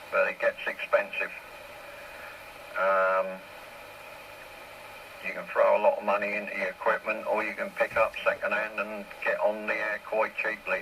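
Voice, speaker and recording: A man chatting casually over a two-way radio, explaining things step by step in a plain-spoken way. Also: lowish voice. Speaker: amateur radio operator. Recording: noisy two-way CB radio transmission.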